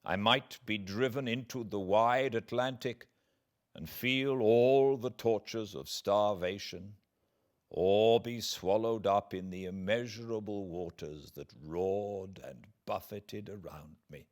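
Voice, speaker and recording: A British man narrates a classic novel in a low voice. His pace is very slow and deliberate, with theatrical pauses and swells that give the literary prose dramatic weight. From Britain. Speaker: audiobook narrator. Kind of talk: dramatic literary audiobook reading.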